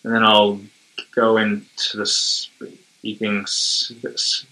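A man talks in a casual conversation, slowly and hesitantly, with frequent fillers. He stutters on sounds, repeating them before a word comes out. His voice is low and very bright. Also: flat delivery, creaky, rough recording.

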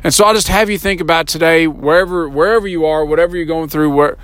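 A middle-aged man with a slightly raised pitch and a hoarse voice talks earnestly through a solo podcast. He slows down on key points and keeps checking in with a "right?". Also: a bit bright, no room sound. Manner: earnest, repeats words and asks "right?". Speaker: podcast host. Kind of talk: solo motivational podcast talk.

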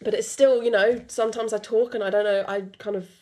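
A woman's voice: a little high, fairly even intonation, fairly quick, a little mellow. A young woman with a British accent, French her first language, hosting a podcast in a rambling casual chat full of fillers. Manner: rambling, many fillers and restarts. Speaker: podcast host.

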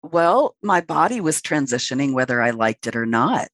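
A man's voice: mid-range voice, big pitch swings, moderate pace, fairly bright tone, dry recording, clean studio sound. A transmasculine man in his late forties, a podcast guest speaking frankly and reflectively at length with fillers. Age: late 40s. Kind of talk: candid interview conversation.

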